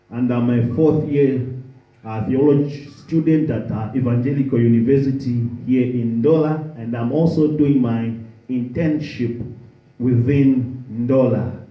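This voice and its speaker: Slow, earnest preacher in echoey hall. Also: male voice, medium pitch, distant mic, highs cut off. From Zambia. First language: Tonga. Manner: slow, earnest, repeats key phrases. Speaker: preacher, theology student. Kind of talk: sermon. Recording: echoey room.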